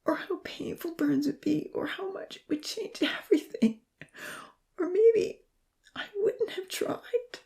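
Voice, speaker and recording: A woman voicing an anguished young male burn survivor, humble and guilt-ridden, halting with a breathy, choked voice. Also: extremely high pitch, expressive, dark tone, pitched above the performer's own voice, full character voice. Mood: anguished whisper. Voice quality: breathy, choked voice breaking into sobs. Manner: halting, pleading, confessional.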